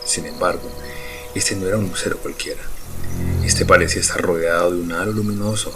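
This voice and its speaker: A man's voice: deep voice, steady tone, brisk, noisy background. A man narrating a scripted audio drama as its storyteller.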